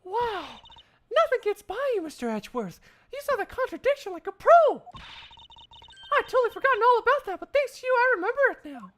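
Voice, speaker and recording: A man voicing a clumsy, eager young female security guard in a live one-man fan dub of a game, in a high, excitable falsetto. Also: brisk, full character voice. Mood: excited.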